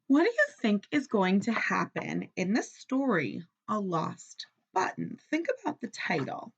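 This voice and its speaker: A woman teacher reading a children's story aloud in an expressive, instructional way, often stopping to ask questions. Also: mid-range voice, measured pace, vocal fry, husky, dull audio.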